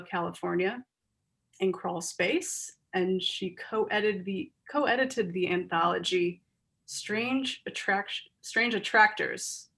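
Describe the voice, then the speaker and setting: A woman's voice: medium pitch, fairly bright tone. Gushing woman moderating an online panel. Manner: earnest, gushing, with frequent ums. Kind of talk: effusive introductions on a video call. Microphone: video call.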